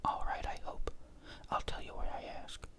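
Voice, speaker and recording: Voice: normal speed, lightly acted. A storyteller whispering the part of a polite, casual man speaking on the telephone.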